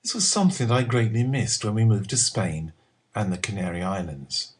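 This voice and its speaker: A middle-aged man with an English accent, reading a scripted personal essay in a deliberate, expressive manner with measured phrasing. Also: on the low side, slightly rough. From England. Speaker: writer reading own column.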